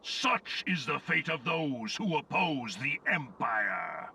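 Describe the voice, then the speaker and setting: An actor playing an imperious, cold and menacing armored warlord in a video game, with a raspy, forceful voice. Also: male voice, mid-range voice, sing-song, slow, far from the mic, slight background noise, heavily acted. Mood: cold menace.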